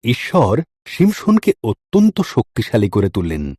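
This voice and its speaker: A man's voice: mid-range voice, huge pitch swings, unhurried, dark tone, no echo, studio quality. A man narrating a scripted story in a dramatic storytelling style. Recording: music under the voice. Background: background music.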